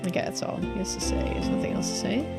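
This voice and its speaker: A woman's voice: fast, over loud music. A woman game streamer giving casual commentary as she plays.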